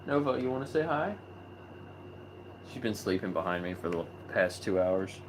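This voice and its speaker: A man with a fairly low voice hosts a live online chat. He talks in a loose, relaxed way, full of ums and small restarts. A steady noise sits under the recording. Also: moderate pace. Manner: relaxed, chatty, many fillers. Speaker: host of a live video chat about animal rights. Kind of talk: casual livestream chat.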